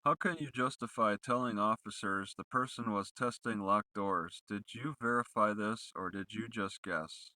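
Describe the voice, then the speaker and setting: A man's voice: lowish voice, normal speed, few pauses, bright, forceful tone, dry recording. A man putting accusatory, leading questions in the style of a rehearsed cross-examination.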